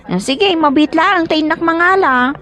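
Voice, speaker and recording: A woman's voice: very high-pitched, unhurried, a bit bright. A woman with an Ilocano accent playing a chatty young niece helping out at a family gathering in a comedy drama. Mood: matter-of-fact.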